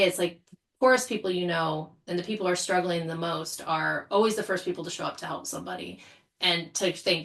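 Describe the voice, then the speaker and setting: Female panel guest, lower-pitched, conversational, earnest, working out thoughts as she speaks. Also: gravelly, a bit bright. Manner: earnest, thinking aloud. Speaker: podcast panel guest, writer. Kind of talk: casual panel discussion.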